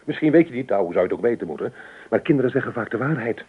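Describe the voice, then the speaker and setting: An actor in a Dutch radio play, playing an irritable, practical husband who halts and repeats himself in exasperation. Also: male voice, mid-range voice, animated, husky. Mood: offended. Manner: exasperated, halting, repeats words. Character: irritable, practical husband weary of his wife. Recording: muffled, tinny band-limited radio recording.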